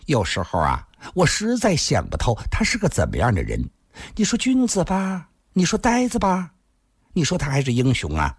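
Man putting on a coy, sly seductress with breathy giggles. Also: fairly high pitch, huge pitch swings, normal speed, no room sound, clean studio sound, voice pushed up, exaggerated voice. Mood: musing, coy.

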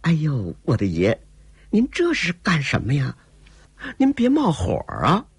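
A male storyteller voicing a soft, pleading young courtesan. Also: a little high, wildly swooping pitch, measured pace, breathy edge, dry recording, voice pushed up, full character voice. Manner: coaxing, tearful pleading.

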